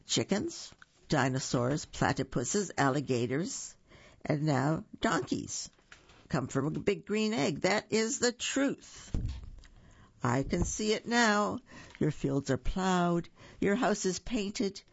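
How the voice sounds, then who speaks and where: A storybook reader voicing a sly, fast-talking fox salesman with an excited, salesy patter. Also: medium pitch, creaky, husky, lo-fi sound, exaggerated voice.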